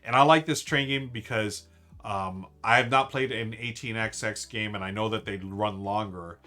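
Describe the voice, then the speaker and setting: A man's voice: fairly low pitch, normal speed, pushed and bright. A man hosting a casual live stream, ranking board games in an enthusiastic, rambling way with lots of fillers and restarts. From United States.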